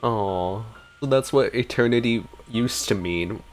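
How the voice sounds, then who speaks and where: A man's voice: on the low side, unhurried, a little hiss or hum. A man giving casual reaction commentary as a gaming streamer.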